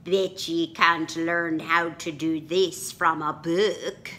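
A woman's voice: low-pitched, steady tone, slow, pushed and bright, a little hiss or hum, full character voice. A woman voicing a dismissive male pirate bunny in a storybook read-aloud.

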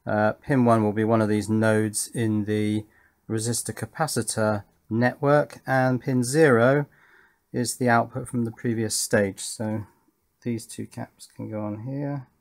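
A man's voice: lowish voice, flat delivery, unhurried. A middle-aged man giving casual hands-on electronics commentary, thinking aloud and asking himself questions as he works. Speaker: electronics hobbyist presenter. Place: indoor workbench.

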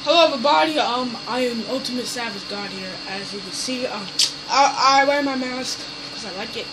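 A man's voice: very high voice, fairly quick, background noise. A young man casually rambling through a home vlog, full of fillers and restarts, recorded on a phone in a small dim bedroom. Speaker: YouTuber. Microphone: phone camera moved around room.

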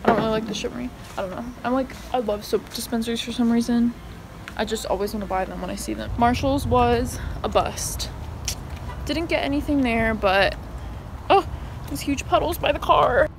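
A young woman chatters to her phone camera in a casual shopping vlog, sprinkling "like" everywhere. Her voice sits a little high. Heavy car and street noise runs under her. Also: normal speed. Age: young adult. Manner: chatty, filler-heavy, lots of "like". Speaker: vlogger. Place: in a car and in stores. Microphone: phone camera at arm's length. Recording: loud road and street noise.

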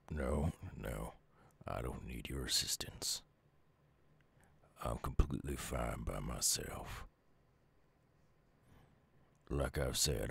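An extremely deep, heavily gravelly werewolf with a Southern US drawl, in an ASMR audio roleplay. He is a gruff, wounded outcast, weary and guarded. His words come slowly and haltingly, in a very husky rasp. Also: dry recording, full character voice.

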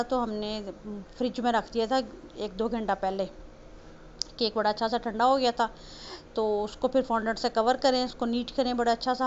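A woman from Punjab, Pakistan, casually explaining cake decorating step by step in a homely, chatty way. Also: a little high. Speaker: home baker teaching cake decorating. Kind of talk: casual voice-over tutorial. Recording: slightly muffled voice-over with faint noise.

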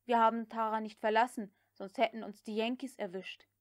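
A woman's voice: fairly high pitch, fairly even intonation, brisk, lightly acted. Woman voicing a deferential, plaintive male house servant. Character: deferential, anxious male house slave, proud and tactful. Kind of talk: audiobook character dialogue.

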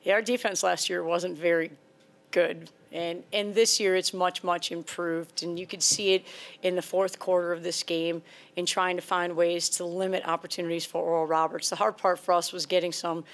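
A woman's voice: fairly low pitch, little pitch movement, moderate pace. A woman in her forties, a head basketball coach, answering reporters' questions at a postgame press conference. She talks earnestly and conversationally into a table microphone, often repeating a word as she restarts a sentence. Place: press conference room before a sponsor backdrop.

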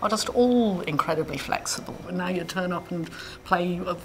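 A woman's voice: on the low side, normal speed, noisy background. A middle-aged woman, an orchestra organiser, talking conversationally in an interview. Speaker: musician who organises an orchestra. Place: indoor room with brick walls, bar behind. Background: background music.

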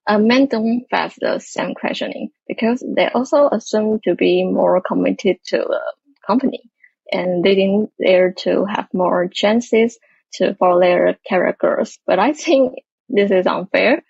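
A woman with a husky voice and some vocal fry speaks on an online panel in a measured way, pausing often. The sound is dry. The call audio is a bit dull. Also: medium pitch, a little mellow, no echo. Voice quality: gravelly, husky. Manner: measured, hesitant. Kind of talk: panel discussion on video call.

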